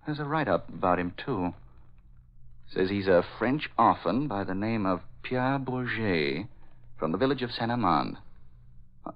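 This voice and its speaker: Smug Gestapo agent, muffled old radio. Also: male voice, lowish voice. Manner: urgent, commanding, smug. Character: scheming menacing male Gestapo agent posing as an Englishman. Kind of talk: 1940s radio drama acting. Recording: muffled vintage radio recording.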